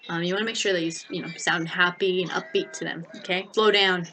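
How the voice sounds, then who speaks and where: A woman's voice: on the low side, fairly even intonation, quick pace, pushed and bright, rough recording. A young American woman giving casual vlog advice in a chatty, informal way, often repeating words for emphasis. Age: young adult. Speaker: vlogger. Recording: noticeable background noise.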